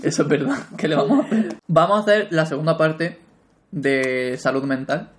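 A young man co-hosting a casual podcast, joking and relaxed, talking between bites of cereal. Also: on the high side, normal speed. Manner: laid-back, jokey, eating cereal while talking. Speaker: podcast co-host.

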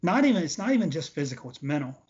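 A man's voice: on the high side, very animated, very fast, darkish tone. A man in his late forties giving casual, emphatic interview answers, repeating points for stress. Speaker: interview guest, veteran bodybuilder. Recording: dull, lo-fi audio.

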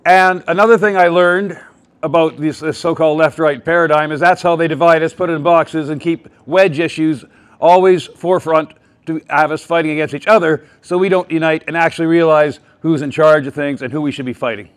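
A man addressing a live town hall audience with a loud, emphatic voice, rambling and often restarting his sentences. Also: on the high side, steady tone, a little fast. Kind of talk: public speech to a live audience. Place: public meeting with a live audience.

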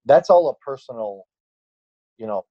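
A man's voice: mid-range voice, lively intonation, moderate pace, highs cut off. A man in his late twenties, a fitness trainer, chatting casually on a podcast and rambling with many fillers and restarts. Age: late 20s.

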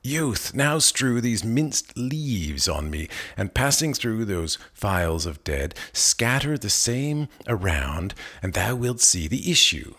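A man's voice: fairly low pitch, very animated, slow, hardly any pauses, sharp tone, voice pushed up, heavily acted. A man voicing an aged, mysterious sage from a narrative poem, exclamatory and urgent in archaic verse. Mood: commanding. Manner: exclamatory, archaic poetic speech.

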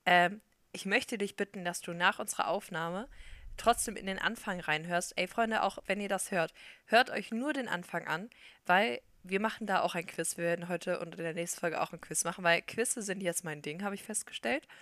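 Young woman, chatty podcast co-host, lowish voice, dry sound. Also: fairly bright tone, dry recording. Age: young adult. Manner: chatty, quick, laughs often. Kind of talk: casual chat.

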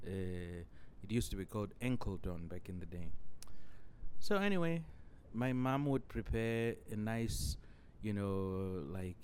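A man from Zimbabwe, hosting a solo podcast and spinning a long, wandering story. His voice sits a bit low, and he fills every pause with "you know". Faint noise hums under the recording. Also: darkish tone. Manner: rambling, leans on "you know" constantly. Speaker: podcast host.

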